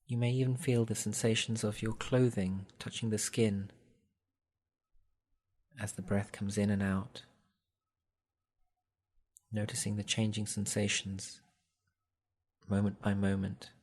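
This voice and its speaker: A man guiding a meditation in a gentle, soothing, measured way, leaving long pauses between instructions. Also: on the low side, level tone. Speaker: meditation guide. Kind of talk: guided body scan meditation.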